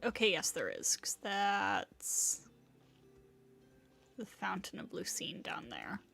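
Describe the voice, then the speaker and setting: Animated woman streaming a video game. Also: mid-range voice, slow pace, creaky. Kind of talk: casual live game commentary.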